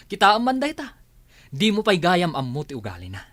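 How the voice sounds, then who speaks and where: A man's voice: sits high, huge pitch swings, moderate pace, a bit bright, no room sound, full character voice. A man playing an argumentative, fault-finding village husband with an Ilocano accent in a comedy radio drama. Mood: accusing, taunting. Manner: argumentative, taunting.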